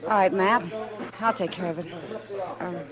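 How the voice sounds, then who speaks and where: A woman's voice: fairly low pitch, moderate pace, crowd noise, lightly acted, low-quality recording. An actress playing an easygoing, helpful frontier saloon woman in a 1950s radio drama. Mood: casual, concerned.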